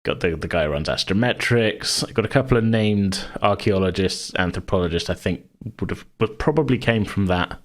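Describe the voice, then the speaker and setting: A man's voice: low voice, fairly quick, hardly any pauses. A man who hosts a podcast and runs games, chatting casually and rambling as he thinks aloud. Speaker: podcast host and game master. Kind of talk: casual chat.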